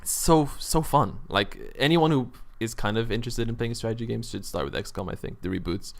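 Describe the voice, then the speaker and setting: A man's voice: mid-range voice, a little fast, creaky. A man hosting a casual gaming podcast chat, talking enthusiastically and swearing freely. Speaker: podcast host.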